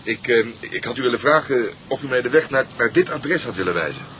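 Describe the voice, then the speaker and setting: An actor playing an amiable husband, by turns hesitant and playfully pompous, in a radio drama. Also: male voice, mid-range voice, lively intonation, brisk, a bit bright, close to an everyday voice. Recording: noisy car ambience.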